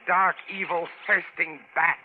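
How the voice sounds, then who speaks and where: A male actor playing a tense stranded adventurer, telling his jungle ordeal in a dramatic, deliberate old-time radio drama style. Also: high-pitched, fairly even intonation. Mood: grim, haunted. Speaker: actor narrating and playing lead. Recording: tinny vintage radio broadcast with music behind.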